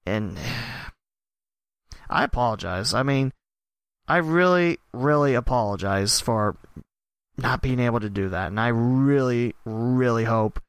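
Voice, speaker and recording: A man with a hoarse, worn-out voice, reading off wrestling tournament results and then reflecting casually as a show host. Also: mid-range voice, slow pace, pauses often, no room sound, clean studio sound. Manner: steady listing of names and results. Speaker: podcast host and wrestling announcer.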